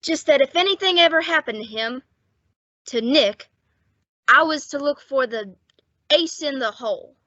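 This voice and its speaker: A woman playing a weary, wounded young male fugitive who talks haltingly in an old-time radio drama. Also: high voice, animated, pushed and bright, no echo. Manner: halting, unsure. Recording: dull, dry lo-fi audio.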